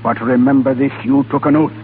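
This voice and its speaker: An actor playing a blunt, menacing hard-line Irish rebel with emphatic, challenging speech in 1930s radio acting style. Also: male voice, medium pitch, fairly even intonation, fairly quick, slight background noise, lightly acted. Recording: tinny 1930s radio recording.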